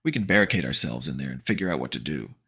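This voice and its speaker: A man's voice: a bass voice, lively intonation, quick pace, bright, forceful tone, breathy edge, heavily acted. A man voicing a teenage girl who speaks with urgent commands. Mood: eager.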